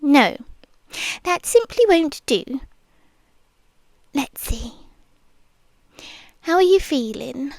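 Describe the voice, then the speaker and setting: A woman's voice: high voice, lively intonation, darkish tone, no room sound, clean studio sound, heavily acted. A woman with a British accent voicing an obsessive, possessive young nurse in a whispered ASMR roleplay, speaking in an intimate, teasing murmur. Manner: slow, intimate, teasing purr with frequent whispers.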